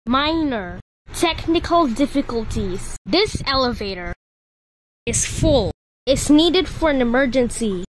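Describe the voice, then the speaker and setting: A woman reading scripted elevator announcements in deliberate, separated words and short phrases. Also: sits high, a little hiss or hum, rough recording.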